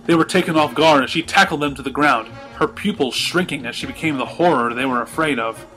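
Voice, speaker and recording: A man reading a story aloud in expressive storybook narration. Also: a little high, a little fast, faint music behind, rough recording. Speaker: fan fiction reader.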